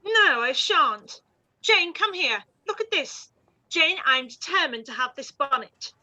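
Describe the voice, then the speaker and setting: A woman voices a silly teenage girl in a period drama. She gushes and whines in a high, very lively, bright voice. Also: measured pace, exaggerated voice, poor audio. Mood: demanding. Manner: gushing, petulant. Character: excitable, whiny teenage girl, silly younger sister. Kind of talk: period radio play acting.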